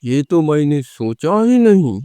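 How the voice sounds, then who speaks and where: A man acting a slow, mellow, grave villager. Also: a little high, big pitch swings, smooth, no room sound. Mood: grave concern. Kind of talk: animated horror story dialogue.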